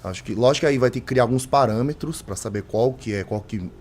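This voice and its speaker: Man, hoarse, halting, casual interpreter chat. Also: mid-range voice, darkish tone, slight background noise. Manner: halting, many restarts.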